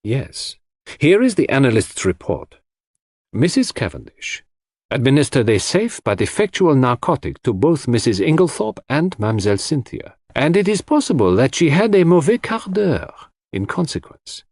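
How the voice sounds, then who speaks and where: A man voicing a shrewd, theatrical detective in an audiobook, speaking deliberately and dramatically with rhetorical questions as he lectures suspects. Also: mid-range voice, very animated, a little mellow, dry recording, studio quality. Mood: explaining calmly. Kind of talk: audiobook character dialogue.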